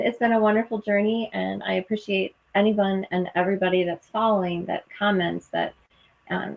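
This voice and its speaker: A woman's voice: mid-range voice, creaky, a little mellow, dull audio. Bubbly laughing woman on a video call. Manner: bubbly, laughs often, chatty. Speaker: co-host and coach for nurses. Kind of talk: live online chat.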